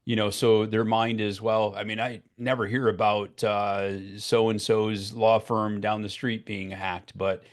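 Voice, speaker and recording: A man hosting a vendor pitch session over a video call, talking casually and haltingly with many fillers. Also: little pitch movement. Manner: filler-heavy, halting with many "you know"s. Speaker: webinar host.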